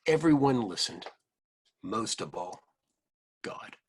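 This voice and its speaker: Expressive man reading to children, gravelly edge. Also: fairly low pitch, a little hiss or hum. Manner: expressive, halting asides, speaking simply as to young children. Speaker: rabbi reading a picture book aloud. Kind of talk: children's read-aloud with explanatory asides.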